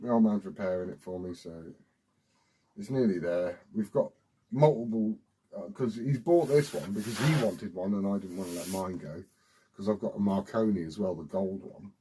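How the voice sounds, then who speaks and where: A British man with a husky voice rambling informally and swearing as he hosts a casual hobby vlog. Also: on the low side, moderate pace, mellow, slight background noise, low-quality recording. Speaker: CB radio hobbyist video host. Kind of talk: casual rambling chat to camera.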